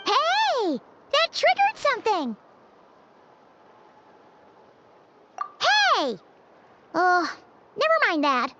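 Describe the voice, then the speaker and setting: A woman voicing a tiny, excitable childlike fairy companion, chatty and blunt, in an anime-style video game. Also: slow, very noisy, exaggerated voice. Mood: excited. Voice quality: squeaky, childlike. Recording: muffled game stream with music.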